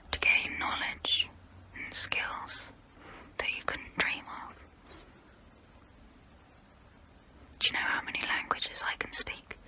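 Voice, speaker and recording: A woman voicing a haughty immortal vampire who looks down on humans, in a breathy close-up whisper for an ASMR role play. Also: bright, forceful tone, low-quality recording. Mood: boastful. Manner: slow, deliberate, condescending.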